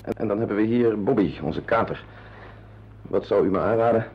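Low, husky, brooding man. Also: a little fast. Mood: hesitant, polite. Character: melancholy, protective male pet owner, narrating his thoughts. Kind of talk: radio drama acting, introspective narration and dialogue. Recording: dull lo-fi radio play recording.

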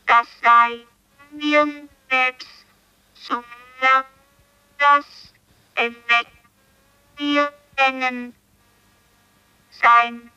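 An actress playing a barely conscious young girl in an experimental radio play, speaking in halting, disjointed fragments. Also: sits high, pushed and bright, clear, resonant tone, dry recording, lo-fi sound, heavily acted.

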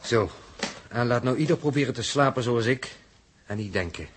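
An actor with a Netherlands Dutch accent playing a calm, resolute spaceship commander in 1960s radio drama, husky, deliberate and authoritative. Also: male voice, mid-range voice, slight background noise, lightly acted. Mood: calm, reasoning.

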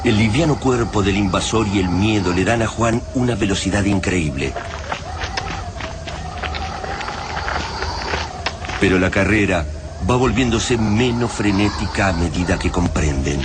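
A man with an Argentine accent delivering solemn, measured, literary narration of a dramatic radio serial. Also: lowish voice, heavy background noise, natural voice. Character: grave, literary male narrator of an alien invasion.

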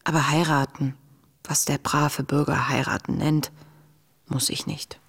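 An actress playing an intense, devout young woman diarist in a radio play, speaking in a hushed, introspective, tender and grieving way. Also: low-pitched, level tone, unhurried, vocal fry, slightly rough, full character voice. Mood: tender, resolute. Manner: hushed, introspective, often near a whisper. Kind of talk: intimate radio play monologue, diary reading.

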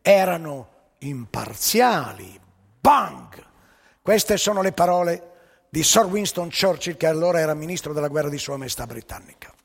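A man's voice: on the high side, animated, moderate pace, creaky, husky, long reverb tail. A man telling a story on stage, emphatic and ironic, with pointed rhetorical questions. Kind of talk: theatrical storytelling monologue.

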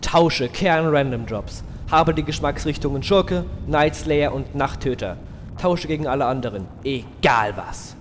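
A man's voice: a little high, animated, unhurried, long reverb tail, slight background noise. A man playing a game-world trader calling out swap offers in a German parody audio drama. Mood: hawking.

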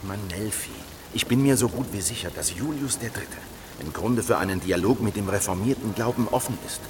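An actor playing a calm, resolute leader of a secret heretical circle in a German radio drama, speaking with assured persuasion. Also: male voice, on the low side, brisk, background noise, lightly acted.

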